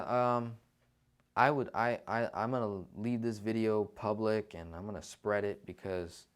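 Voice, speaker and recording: A man hosting a casual livestream finance Q&A, talking persuasively and often checking in with listeners. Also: on the low side. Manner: conversational, persuasive, often checks in with "right?" and "okay?". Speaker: financial Q&A host.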